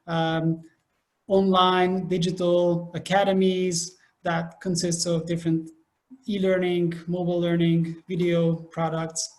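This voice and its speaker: A man from Hungary presenting a webinar on a video call, explaining steadily with some fillers. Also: sits high. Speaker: e-learning facilitator.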